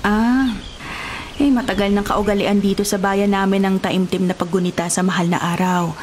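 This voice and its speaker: A woman playing a kindly, welcoming small-town nun in a Filipino radio drama, with a gentle, counseling manner. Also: mid-range voice, fairly even intonation, normal speed, a little hiss or hum, lightly acted.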